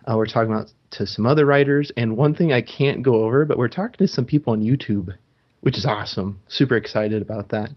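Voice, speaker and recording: A man hosting a gaming podcast talks in a loose, chatty way, filling pauses with ums and restarting his sentences. His voice sits at an everyday middle pitch. The recording sounds dull and lo-fi. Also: husky. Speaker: podcast host. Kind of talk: casual gaming podcast chat.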